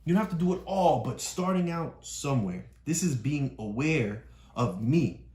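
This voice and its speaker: Low-voiced, lively encouraging woman. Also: moderate pace, roomy sound. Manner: encouraging, keeps checking understanding. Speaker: parent coaching a child through a read-aloud. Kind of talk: explaining a story to a child.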